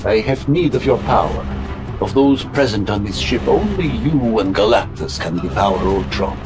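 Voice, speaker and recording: A man's voice: lowish voice, lively intonation, moderate pace, over music, close to an everyday voice. A man voicing an imperious, grandiose villain mastermind in an audio drama, with pompous, clipped, theatrical delivery. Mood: commanding.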